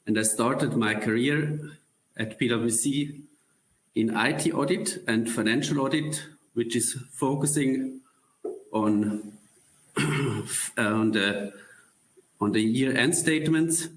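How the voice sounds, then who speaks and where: A man presenting a corporate career webcast, halting with many pauses and throat clears. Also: medium pitch, roomy sound, poor audio.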